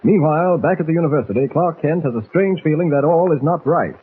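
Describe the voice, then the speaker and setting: A man's voice: a little high, animated, quick pace, a little hiss or hum. A man narrating a 1940s radio drama in a suspenseful, theatrical scripted style. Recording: tinny, muffled 1940s radio recording.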